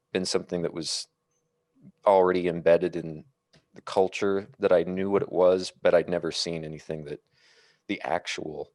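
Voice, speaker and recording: A man chatting casually about films on a podcast in a measured, reflective way. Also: sits low, a little mellow, dry recording, clean studio sound. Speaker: podcast co-host. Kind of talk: casual film discussion.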